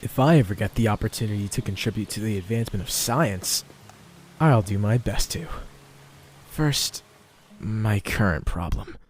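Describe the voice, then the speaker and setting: A man acts out a young anime hero lost in a fantasy world. His voice sits a little low. He mutters to himself with wry, self-mocking theatricality. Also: moderate pace, a little hiss or hum, lightly acted. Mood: wry, boastful. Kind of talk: anime dub internal monologue.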